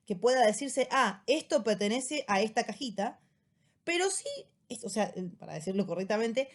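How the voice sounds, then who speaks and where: A woman teacher with an Argentine accent, explaining a text in a relaxed lecture style and often checking in with short tag questions. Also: fairly high pitch, moderate pace. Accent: Argentine Spanish. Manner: casual rioplatense slang. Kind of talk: recorded lecture summary.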